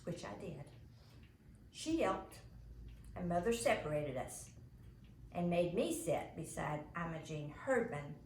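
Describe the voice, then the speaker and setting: A woman reads a children's story aloud in an animated, expressive storyteller's style. Her pace is unhurried. The home recording is roomy, with faint background noise. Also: sits low, vocal fry. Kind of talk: expressive children's audiobook narration.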